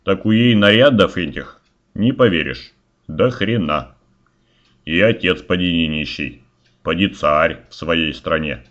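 A man's voice: low voice, unhurried, a bit bright. A man playing a simple, stubborn, cheeky young village lad who banters in folksy rhyme for a fairy-tale audio play, recorded a little muffled. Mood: cheeky challenge.

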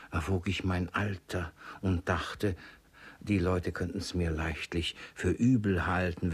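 A man's voice: low voice, husky. An actor playing a cunning, suspicious old miser and father in a classic comedy radio play, probing and repeating little words.

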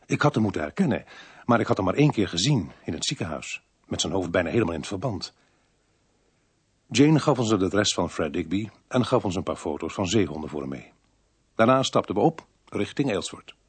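A man acting a detective who narrates his investigation in a radio play, measured and dramatic. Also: low voice, very animated, husky, no room sound, exaggerated voice. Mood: dramatic, reflective. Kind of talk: first-person dramatized storytelling.

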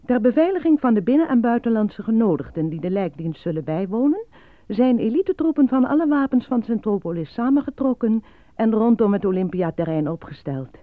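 An actress voicing a formal, matter-of-fact state broadcast announcer reading grim bulletins in a radio play. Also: female voice, a little high, very animated, fairly quick, dry recording. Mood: solemn, official. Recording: dry, dull lo-fi radio recording.

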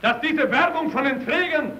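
A man's voice: very high voice, normal speed, pushed and bright, low-quality recording. A male actor playing a fiery Soviet worker arguing at a party meeting in a vintage radio play, declaiming insistently. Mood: heated.

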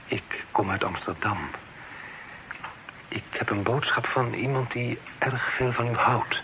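An actor playing an earnest, considerate gentleman in a radio drama, speaking in a measured, formal and gentle way. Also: mid-range voice, close to an everyday voice. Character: earnest, considerate gentleman bearing news of reconciliation. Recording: noisy old radio play recording.

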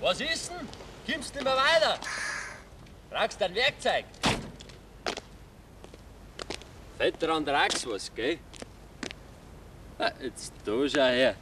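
A man's voice: fairly high pitch, lively intonation, unhurried, long pauses. A simple Bavarian countryman in a 1950s radio drama. His voice swings widely between muttered, stumbling repetitions and calls. Faint outdoor road noise sits behind him. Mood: curious. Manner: muttering to himself, stammering repetitions, calling out. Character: rattled simple village man finding a murdered neighbour. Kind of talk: Bavarian dialect radio play acting, 1950s.

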